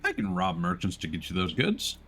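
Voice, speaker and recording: A man's voice: low voice, wildly swooping pitch, a little fast, creaky, fairly bright tone, a little hiss or hum. A man live-streaming a game with casual commentary, reacting aloud in a wry, joking way.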